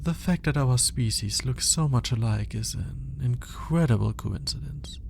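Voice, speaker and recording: Man acting as aloof alien scientist, ASMR roleplay, mellow and creaky, clinical, condescending, formal, deliberate. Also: medium pitch, a little hiss or hum. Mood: clinical, intrigued. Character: cold, aloof male alien scientist studying a human captive.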